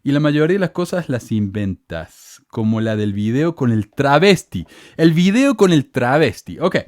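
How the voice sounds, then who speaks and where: A man's voice: sing-song, normal speed, darkish tone, dry recording, clean studio sound. A middle-aged man with a Cordoba Argentine accent, hosting a podcast and reading out hostile comments with sarcastic indignation. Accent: Cordoba Argentine Spanish. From Córdoba, Argentina. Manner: indignant, sarcastic, rants with rising pitch. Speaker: podcast host.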